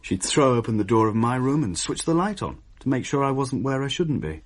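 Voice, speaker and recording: A man's voice: mid-range voice, very animated, a little fast. An actor playing a wry family man recounting a haunting in a radio drama, with dry, vivid storytelling. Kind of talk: radio drama narration in dialogue with another narrator.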